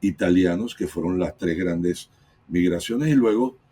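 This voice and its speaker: Deep, dark-voiced Venezuelan man explaining. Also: unhurried, a little hiss or hum. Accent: Venezuelan Spanish. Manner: expansive, lecturing with rhetorical tags. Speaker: real estate guest commentator. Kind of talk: explanatory conversation.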